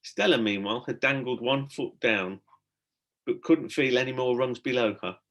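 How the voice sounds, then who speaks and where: A man's voice: mid-range voice, moderate pace, fairly bright tone. Man narrating a children's storybook expressively. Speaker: primary school teacher reading aloud.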